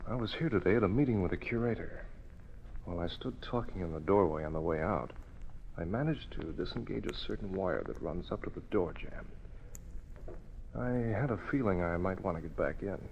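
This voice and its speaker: An actor playing a daring, confident masked vigilante in an old-time radio drama, brisk and commanding, on a muffled and noisy recording. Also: male voice, deep voice, lively intonation, creaky. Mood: low, conspiratorial.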